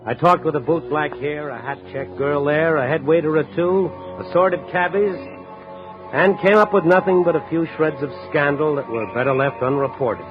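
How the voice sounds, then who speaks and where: A male actor plays a world-weary American newspaper reporter narrating a 1950s radio drama. His voice sits slightly high for a man, reflective and hardboiled. The old recording is dull, with music behind him. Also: moderate pace, close to an everyday voice. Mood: wry, somber. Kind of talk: 1950s radio drama acting, first-person noir narration.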